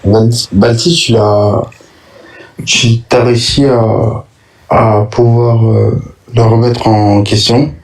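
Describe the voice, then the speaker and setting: A man's voice: mid-range voice, flat delivery, takes it slowly, a little mellow, recorded from a distance, rough recording. A man calling into a live stream, speaking in a casual, friendly way with lots of hesitation. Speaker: caller. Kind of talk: casual chat.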